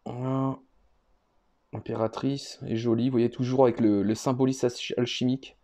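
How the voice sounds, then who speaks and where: A man with a relaxed, mellow voice at an average pitch presents like a casual home vlogger. He talks off the cuff, hesitating and circling back over his words as he rambles along. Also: moderate pace. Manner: hesitant, rambling, often repeating himself. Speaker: vlogger presenting a card collection. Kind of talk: casual unscripted show-and-tell.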